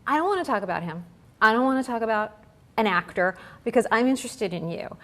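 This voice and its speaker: A woman's voice: on the high side, animated, quick pace, fairly bright tone. A woman with an American accent hosting an interview, conversational and articulate, with a wry streak and long, carefully built questions. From United States. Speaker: interview host.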